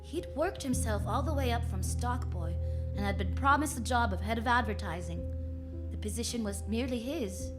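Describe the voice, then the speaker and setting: A woman's voice: a little high, moderate pace, natural voice. A woman playing a wry, flirtatious private detective who narrates her case in a vintage radio drama. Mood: wry narration. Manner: wry, hard-boiled storytelling. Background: music.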